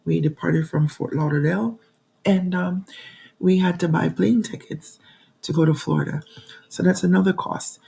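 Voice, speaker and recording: A woman in her forties hosts her own vlog, hoarse from a cold, with a gravelly, husky, mellow voice. She talks straight to her viewers in a chatty way, with plenty of ums. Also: on the low side, steady tone, normal speed, poor audio. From New York. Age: 40s. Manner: chatty, addresses viewers directly, frequent ums. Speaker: budgeting channel host. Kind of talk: casual talking-to-camera vlog.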